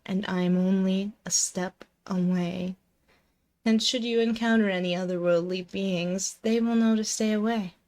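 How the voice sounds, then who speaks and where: A woman's voice: mid-range voice, darkish tone, lightly acted. A woman playing a possessive, dominant and seductive female demon in an intimate, close-miked ASMR roleplay, commanding, teasing and doting. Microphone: close binaural microphone.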